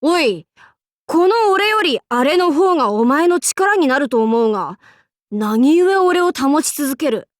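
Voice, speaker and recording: A woman playing a brash, cocky young feudal warlord in an anime game, with rough, masculine, archaic and boastful speech. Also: high-pitched, big pitch swings, a little slow, no echo, studio quality. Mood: musing, curious. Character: brash, cocky young feudal warlord, self-styled fool, fiercely loyal. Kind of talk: anime game character voice acting.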